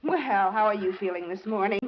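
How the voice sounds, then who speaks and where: A woman playing a brisk, reassuring hospital nurse in old-time radio style, soothing and professional, on a vintage recording. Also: mid-range voice, muffled recording, noisy background, lightly acted. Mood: cheery.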